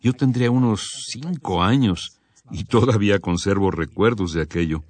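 A man giving calm, scripted radio narration, reading a reflective first-person account in measured, unhurried phrases. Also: on the low side, dry recording. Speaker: radio program voice reading a composer's testimony. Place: radio studio.

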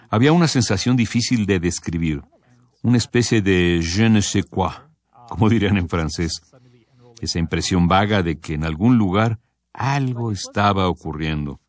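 A man with a notably deep, low voice narrates for a radio program. His delivery is calm and scripted, with reflective, measured phrasing that never hurries. It sounds like a studio read. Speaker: radio program voice reading a composer's testimony.

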